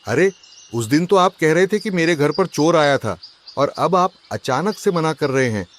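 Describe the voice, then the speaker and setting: A man's voice: fairly high pitch, lively intonation, normal speed, slight background noise, natural voice. A man voicing an accusing, suspicious husband in a cartoon, speaking with emphasis. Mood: angry. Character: suspicious, aggrieved husband robbed of his chest. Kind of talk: Hindi cartoon voice acting.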